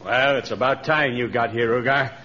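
Man playing a sharp, impatient villain. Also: medium pitch, fairly even intonation, moderate pace, a little hiss or hum, natural voice. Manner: brusque, demanding. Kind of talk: old radio adventure serial acting. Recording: dull old radio recording.

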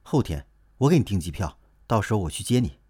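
Drama role: fussing male agent, husky, lively intonation, persuasive. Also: fairly low pitch, quick pace. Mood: earnest, persuading. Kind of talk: Chinese audio drama acting.

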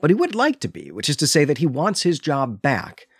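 A man's voice: fairly high pitch, wildly swooping pitch, a little fast, husky, no room sound, clean studio sound. A man co-hosting a podcast, explaining and retelling a story in a conversational, lightly amused way. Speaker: podcast co-host. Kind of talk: conversational literary recap and commentary.

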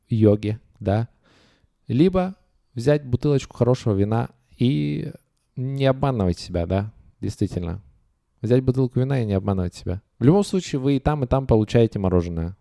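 A man's voice: on the low side, warm tone, dry recording, clean studio sound. A man hosting a comedy podcast, announcing contest prizes in a playful, mock-grand way and reading out notes with asides. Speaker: podcast host.

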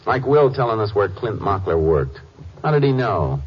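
An actor playing a steady American Texas Ranger, questioning in a measured, laconic way, in 1950s radio drama style. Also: male voice, lowish voice, husky. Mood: thoughtful. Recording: muffled vintage radio recording.

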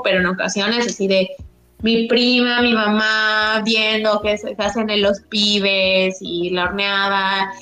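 A woman's voice: medium pitch, pushed and bright, echoey room, rough recording. A woman from Yucatán being interviewed, talking casually and rambling with many restarts and fillers. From Mexico, Yucatán.

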